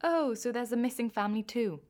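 Stern, mocking Turkish official, acted by a woman, higher-pitched. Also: fairly quick, a little mellow, close to an everyday voice. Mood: accusing. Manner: interrogating, sarcastic, lecturing. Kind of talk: radio play acting.

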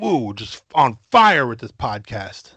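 Guy on a podcast mic, crude and braggy, slow emphatic talk, dead dry sound. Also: medium pitch, big pitch swings, sharp tone, slightly rough, dry recording. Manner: crude, boastful mock-macho bits, slow emphatic delivery. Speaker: podcast co-host. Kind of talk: casual comedic podcast banter.

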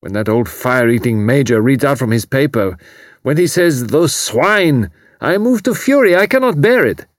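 A man voicing an anguished young German refugee with a German accent, hoarse and bitter, in formal, halting phrasing. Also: medium pitch, animated, no room sound, clean studio sound, pitched above the performer's own voice, natural voice. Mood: impassioned. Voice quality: hoarse, strained.

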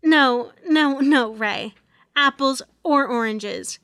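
A woman reads the part of an exasperated sitcom wife with a sarcastic edge. Her voice is high, and the sound is dry and close, as in an amateur table read. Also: takes it slowly, a bit bright, no echo.

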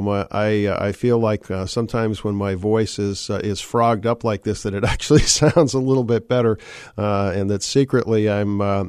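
A middle-aged man hosts a live radio talk show from a studio microphone, his voice a little hoarse from a cold. He sits low in pitch and keeps stopping and restarting with "uh" fillers. The sound is close and dry, with no room echo. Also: a little mellow.

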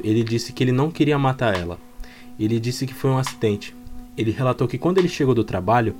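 A man's voice: mid-range voice, a little mellow. A Brazilian man telling a true crime story in a plain, explanatory way with informal wording. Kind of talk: storytelling narration. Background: background music.

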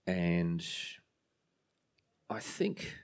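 A man being interviewed, speaking haltingly and reflectively with many restarts. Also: deep voice, very animated, gravelly, clear, resonant tone. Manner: halting, repeats words, soft reflective delivery. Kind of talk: casual conversational interview.

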